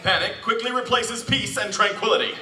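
A man's voice: high voice, brisk. A man playing an overwrought, melodramatic narrator in an old-time radio show on stage. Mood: melodramatic urgency. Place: church stage, indoors. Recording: echoey stage from far off, loud music.

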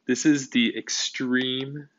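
A male teacher narrating a whiteboard lesson in a steady, explanatory way. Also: medium pitch, takes it slowly, dull audio.

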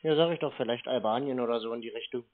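A German man speaks casually but confrontationally on a call. His medium-pitched voice is dismissive and mocking, with blunt replies. The sound is narrow, like a phone line. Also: very fast, slight background noise. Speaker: scam baiter taking a phone call. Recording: thin narrow-band phone audio.

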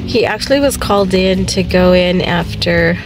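A woman in her fifties, vlogging casually from her car and recovering from a sore throat, her voice deeper than usual. She rambles and laughs often. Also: moderate pace, music in the background, low-quality recording. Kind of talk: casual vlog chat. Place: inside a parked car. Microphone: phone held at arm's length.

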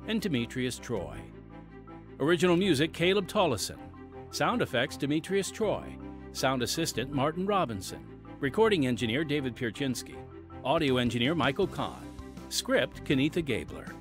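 A man hosting a podcast, reading scripted promotional narration and addressing listeners in a folksy way. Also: medium pitch. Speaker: podcast host and announcer.

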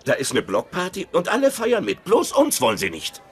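Excitable, boyish sitcom husband, wildly swooping pitch. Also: male voice, a little fast, slightly rough, heavily acted. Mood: wistful, pleading. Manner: pleading, excitable, playful. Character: easygoing, boyish husband eager to be liked. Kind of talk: dubbed sitcom acting.

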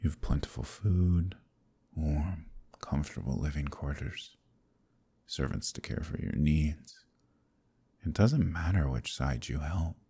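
A proud, possessive demon king who speaks slowly and silkily to his captive. His voice sits extremely low, with a gravelly fry and a husky edge. The tone stays dark and mellow throughout. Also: heavily acted. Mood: persuasive. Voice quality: deep gravelly voice. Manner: slow, silky, menacing persuasion. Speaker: voice actor. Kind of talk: ASMR roleplay.

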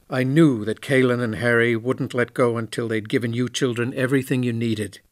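A man playing a candid, reflective former station commander in a science fiction audio drama, speaking in a measured way. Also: medium pitch, no echo, studio quality, lightly acted. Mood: wistful, candid.

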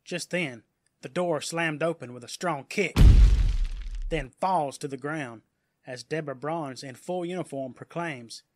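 A man's voice: a little high, unhurried. A man narrating a scripted story dramatically as an audiobook narrator.

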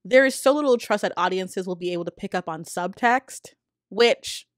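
An American woman in her thirties giving casual, opinionated commentary in an informal, slangy way with animated emphasis. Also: medium pitch, normal speed, dry recording, clean studio sound. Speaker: video essayist and host. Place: small indoor room with poster-covered walls. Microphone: close studio mic on a boom arm.

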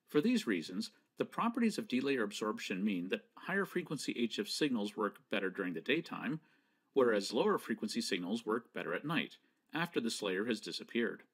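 A man presenting scripted educational narration in a measured, didactic manner with precise enunciation. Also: medium pitch.

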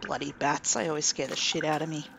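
Man, gravelly voice, chatty game commentary, hiss in the background. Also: fairly high pitch, fast. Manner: relaxed, chatty, thinking aloud while playing. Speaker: gaming commentator. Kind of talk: casual let's play commentary.

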